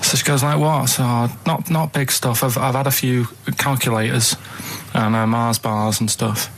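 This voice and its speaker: Man, mid-pitched, rambling colloquial storyteller, noisy radio recording. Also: steady tone, moderate pace. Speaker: radio show co-host and storyteller. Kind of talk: rambling anecdotal radio chat. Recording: radio broadcast with clear background noise.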